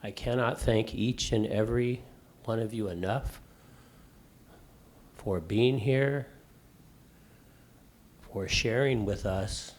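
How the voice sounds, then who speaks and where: Middle-aged man, loud and emphatic, low voice, unhurried delivery, faint background noise. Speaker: faculty member in the audience. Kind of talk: impromptu remarks from the floor.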